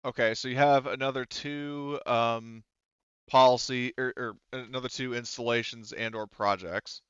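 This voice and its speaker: A man acting as game master, casually narrating and thinking through rules aloud in a halting manner. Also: medium pitch, fairly even intonation, a bit bright, clear, resonant tone, dry recording, dull audio.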